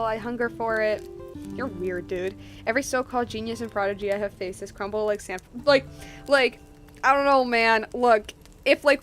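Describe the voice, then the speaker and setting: Young woman streamer w a highish voice, slangy rambly game reactions, bit of music behind. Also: fairly bright tone. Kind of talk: casual live gameplay reaction.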